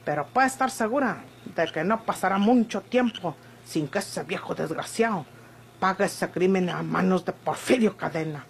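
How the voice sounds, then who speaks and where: A woman's voice: on the low side, moderate pace, vocal fry. An actress playing a feisty, blunt ranch woman with a rural Mexican accent and rustic speech, in an old radio drama. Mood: fiery, scornful. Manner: rustic, sharp-tongued speech. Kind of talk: Mexican golden-age radionovela acting.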